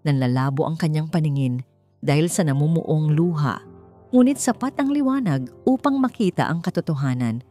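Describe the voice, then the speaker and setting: Low-voiced woman narrating romance over music. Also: expressive, moderate pace, dark tone. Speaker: story narrator. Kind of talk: dramatic scripted narration of romance fiction. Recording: music bed under the voice.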